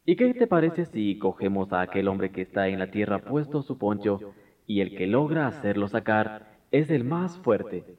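An actor playing a mighty, boastful wind god from a legend in a radio drama, speaking in a self-important, declamatory way. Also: male voice, fairly low pitch, big pitch swings, moderate pace, phone-quality sound. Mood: confident.

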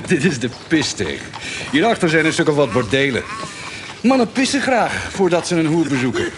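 A man's voice: fairly high pitch, very animated, moderate pace. An actor playing a roguish, wary swindler and womaniser in a radio drama, with a wry, musing delivery. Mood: amused. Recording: clear background noise.

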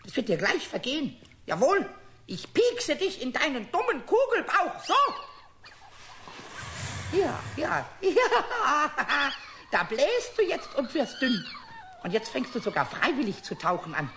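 A man's voice: very high voice, wildly swooping pitch, a little slow, sharp tone, heavily acted. A man voicing a cheeky, hot-tempered little male kobold in a children's radio play, boastful and gleefully threatening. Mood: gloating. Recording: 1970s radio play, some noise.

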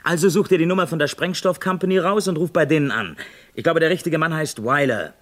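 A man's voice: fairly high pitch, quick pace, natural voice. An actor playing a brash, impatient reporter in a German crime radio play, bossy and slangy as he gives an urgent account. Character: brash, impatient male American reporter narrating live.

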